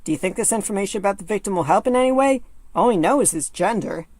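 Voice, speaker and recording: A woman's voice: mid-range voice, expressive, fast talker, a little mellow, dry recording, lightly acted. A woman playing an earnest, slightly doubtful assistant to a defense lawyer in an audio drama.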